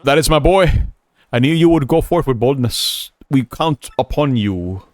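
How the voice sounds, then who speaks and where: A man's voice: medium pitch, expressive, a little slow, no echo, lightly acted. A man voicing a vain, self-important male adventurer, speaking in a grand, theatrical way. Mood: pompous.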